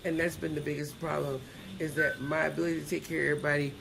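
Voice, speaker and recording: Blunt, gravelly-voiced woman in interview. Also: sits low, flat delivery, fast, fairly bright tone, a little hiss or hum, poor audio. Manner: blunt, emphatic, plain-spoken. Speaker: podcast guest. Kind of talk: candid conversational interview.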